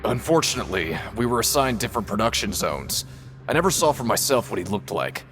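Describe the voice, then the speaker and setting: Animated, streetwise factory worker. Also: male voice, fairly low pitch, fairly quick, faint music behind. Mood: storytelling. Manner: folksy, conversational, cautionary. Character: seasoned male prison factory worker, casual, knowing, cautionary. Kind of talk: video game voice acting.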